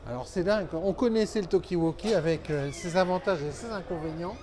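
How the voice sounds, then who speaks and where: Guy hosting a product interview, bit high voice, really enthusiastic, lots of exclamations. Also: fairly quick. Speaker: interviewer, show host.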